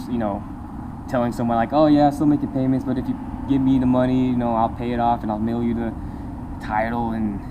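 A man's voice: medium pitch, background noise. A young man chatting casually in a motorcycle vlog, rambling and laughing often, recorded on a small camera in an open-air park shelter. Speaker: motovlogger. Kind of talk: casual talking-head vlog. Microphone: compact camera on a table nearby.

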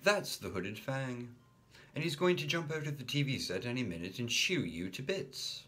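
A man's voice: mid-range voice, sing-song, normal speed, bright, forceful tone, pitched above the performer's own voice. A man doing a bossy teasing big sister. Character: bossy older sister teasing her brother.

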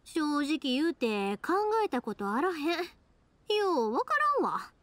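A woman's voice: high voice, very animated, a little slow. A woman voicing a cheerful, sincere young fireworks maker in an anime-style game, with a Kansai accent and a friendly, earnest, colloquial manner. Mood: reflective, earnest.

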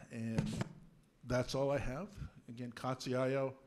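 A middle-aged man, hoarse from a cold, giving halting remarks into a handheld mic at a school board meeting, clearing his throat now and then. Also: medium pitch, level tone, noisy background. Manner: halting, many fillers. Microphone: handheld microphone held close.

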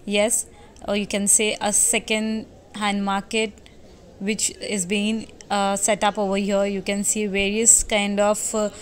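A woman's voice: medium pitch, completely flat delivery, measured pace. Woman doing a travel vlog voice-over, grew up in india, chatty with lots of uhs. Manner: chatty, hesitant with fillers. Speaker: travel vlogger. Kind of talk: voice-over narration.

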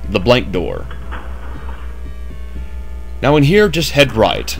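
A man's voice: medium pitch, huge pitch swings, slow, vocal fry, faint music behind. A man narrating a game walkthrough in a chatty way with jokey asides, just woken up. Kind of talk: casual gameplay commentary.

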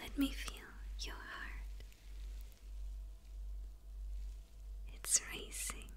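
Woman whispering as a gloating demon villainess. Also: lightly acted. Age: young adult. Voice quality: breathy whisper.

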